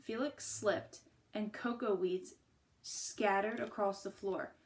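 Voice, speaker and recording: A woman's voice: mid-range voice, steady tone, takes it slowly, slight background noise. Woman reading a picture book aloud. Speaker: teacher reading aloud to children. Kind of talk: picture book read-aloud with questions to listeners.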